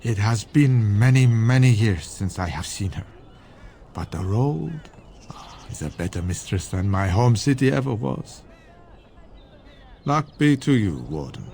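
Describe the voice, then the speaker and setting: A man's voice: on the low side, expressive, a little slow, darkish tone, slight background noise. A man playing a suave, worldly foreign merchant with shady dealings in a fantasy video game, courtly and philosophical in manner. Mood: guarded, polite. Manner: courtly, smooth-talking, philosophical.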